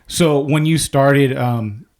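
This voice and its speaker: A man with a mid-pitched voice hosts a podcast in a loose, easygoing way. He laughs easily and swears casually as he asks questions. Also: slow, a little mellow. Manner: laid-back, laughing, profane. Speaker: podcast host.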